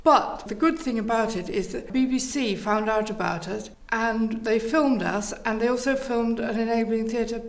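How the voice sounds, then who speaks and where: A woman's voice: on the high side, normal speed, vocal fry, few pauses. A woman answering interview questions and telling stories as the leader of a theatre group.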